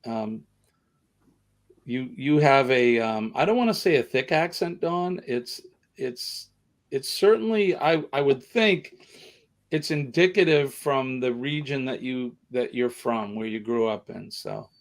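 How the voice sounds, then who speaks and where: Male live host, Boston accent, casual rambling delivery, restarts often. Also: medium pitch. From northeastern United States. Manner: rambling, halting with many ums and repeated words.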